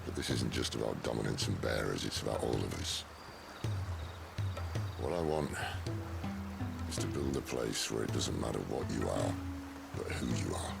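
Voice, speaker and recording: Seasoned outlaw leader, game drama: extremely low, gravelly, wry, idealistic, music underneath. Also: male voice, full character voice. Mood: earnest. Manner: wry, measured. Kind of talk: dramatic video game voice acting.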